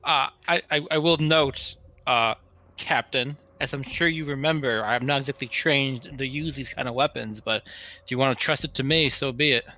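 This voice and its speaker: A man with a bright, mid-pitched voice speaks as a player in a tabletop roleplaying game. His delivery is casual and conversational, in character. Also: moderate pace.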